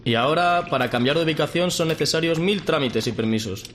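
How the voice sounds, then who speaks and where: Male actor as anxious rookie worker, rapid speech, conversational drama, faint noise. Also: a little high, fairly bright tone, lightly acted. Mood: dejected. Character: anxious, curious male newcomer on first day of work. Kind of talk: radio drama acting, conversational. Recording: faint background noise and ambience.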